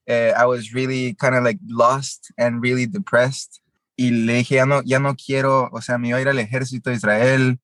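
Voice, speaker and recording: A man's voice: medium pitch, flat delivery, moderate pace, fairly bright tone, no echo. A young man from Guatemala, telling his story as an interview guest and mixing with English. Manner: switches between Spanish and English mid-sentence, many fillers.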